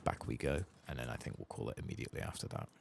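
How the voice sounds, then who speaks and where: A soft-spoken man muttering playful, sweary, self-mocking ASMR commentary as he hosts a video game playthrough. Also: extremely low pitch, expressive, very fast, creaky, slight background noise. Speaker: host of a gaming playthrough channel.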